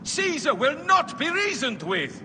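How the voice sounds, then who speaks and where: An actor playing a defiant, accusing Roman senator with a forceful, challenging manner in a video game cutscene. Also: male voice, very high voice, huge pitch swings, unhurried, full character voice. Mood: urgent. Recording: reverberant hall, faint background noise.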